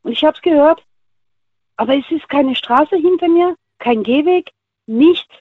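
A woman with a high voice talks over a thin phone connection. She is a radio caller sharing personal experiences informally, emphatic and colloquial, often repeating phrases for stress. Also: lively intonation, unhurried, slight background noise. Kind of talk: casual personal storytelling. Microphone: telephone. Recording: thin, tinny phone line.

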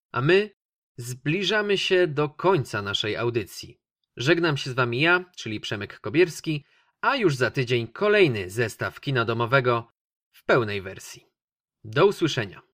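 A man's voice: mid-range voice, fairly bright tone, no room sound, studio quality. A man hosting a radio film review, talking conversationally and addressing the listeners directly. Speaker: radio host reviewing films.

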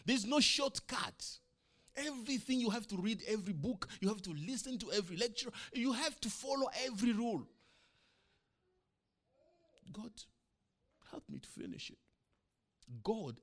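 A middle-aged man, a pastor whose first language is not English, preaching a sermon to his church with emphatic rhetorical questions, swinging between loud and soft. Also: sits high.

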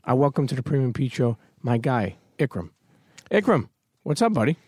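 A man's voice: mid-range voice, big pitch swings, moderate pace, a little mellow, husky, no room sound, studio quality. A man hosting a podcast, giving an emphatic motivational talk full of casual swearing before welcoming his guest. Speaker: podcast host.

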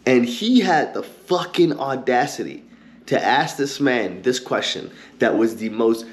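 A man hosting his own podcast, ranting heatedly and cursing a lot, with an occasional stutter and pauses filled with um and like. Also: medium pitch, lively intonation. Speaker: podcast host. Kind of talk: ranting commentary.

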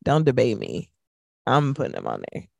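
A woman's voice: a bass voice, wildly swooping pitch, moderate pace, gravelly, no echo, clean studio sound. A young adult woman co-hosting a radio show, chatting casually about music in an emphatic, sassy, colloquial and opinionated way.

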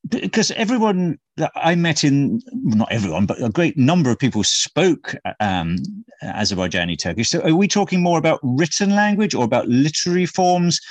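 A man's voice: fairly high pitch, dry recording. Probing male interviewer, dry sound. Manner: probing, rephrases questions. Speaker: interviewer, host. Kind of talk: interview questions.

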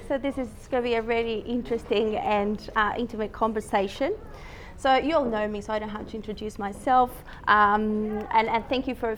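A woman opens and guides a live panel talk, friendly and full of small hesitations. Her voice is a little high. A faint room noise sits under her. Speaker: host and moderator. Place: workspace event room with small audience.

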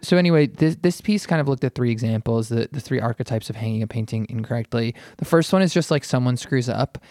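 A man's voice: mid-range voice, quick pace, darkish tone, no echo, studio quality. A man talking casually as a panelist on an arts podcast, informal and a little wry, often rewording as he goes. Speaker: podcast panelist and art writer.

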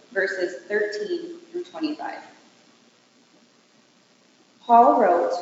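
A woman serving as lector, reading scripture aloud in a measured, formal way. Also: mid-range voice. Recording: very echoey hall, dull, faint noise.

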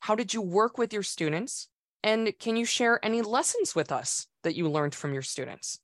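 A woman hosting an interview, asking questions in a warm, encouraging way. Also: medium pitch, lively intonation, normal speed, no room sound, clean studio sound. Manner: warm and encouraging, complimenting the guest. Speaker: podcast host.

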